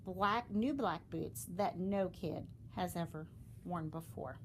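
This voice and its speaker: A woman reading a children's storybook aloud, expressively, with dramatic emphasis and deliberate pauses. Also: lowish voice, a little hiss or hum. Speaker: storybook reader.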